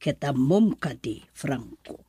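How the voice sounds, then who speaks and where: A woman voicing a caring older aunt in an Ilocano radio drama, with an Ilocano Filipino accent and expressive interjections. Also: extremely low pitch, takes it slowly, slightly rough, dry recording, full character voice. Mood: earnest, advising. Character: caring older aunt, advising her relatives.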